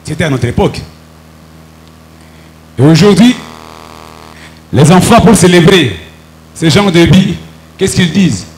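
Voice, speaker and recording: A man's voice: fairly high pitch, sing-song, a little slow, long pauses, rough recording. A man with a Congolese French accent reminiscing cheerfully as a radio show contestant. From Bukavu, DR Congo.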